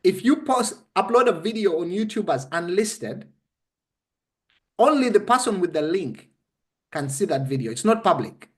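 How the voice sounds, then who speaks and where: Ugandan guy with a pretty high voice, explaining stuff step by step, really stresses his points. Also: very animated, normal speed. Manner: emphatic, instructive, checks understanding with short questions. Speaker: interview guest explaining a method. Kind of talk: conversational coaching.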